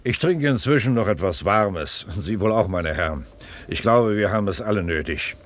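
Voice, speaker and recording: An actor playing a formal, reasonable court counsellor with a commanding manner in a German radio drama. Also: male voice, on the low side, expressive, normal speed, natural voice. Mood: reassuring. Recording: noisy background ambience.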